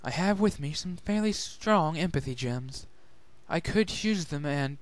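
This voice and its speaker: A man voicing an older female changeling in a story reading, a calm, protective sister with a soft, gentle manner. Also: fairly high pitch, a little slow, no room sound, voice pushed up. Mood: concerned.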